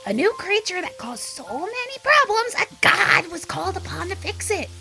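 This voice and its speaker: An actor in an audio drama voicing a small, blunt, excitable monkey creature with a scolding streak. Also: extremely high pitch, moderate pace, gravelly, soft background music, full character voice, low-quality recording.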